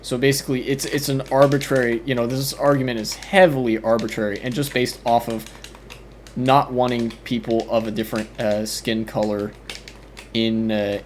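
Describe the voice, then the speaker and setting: A man's voice: medium pitch, level tone, normal speed, slight background noise. A man commenting casually on a debate clip as a streamer, rambling with many fillers and restarts.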